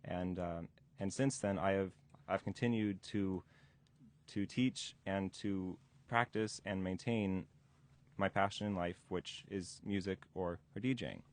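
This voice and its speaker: A twenty-year-old man with a very deep voice talks haltingly, stumbling through restarts and fillers as he recalls his past. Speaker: DJ instructor. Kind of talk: recorded interview recollection. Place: museum interview room.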